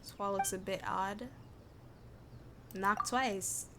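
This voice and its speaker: A woman's voice: medium pitch, steady tone, slow pace, background noise. A woman streaming a game, thinking aloud in informal, muttered commentary to herself, with music behind her.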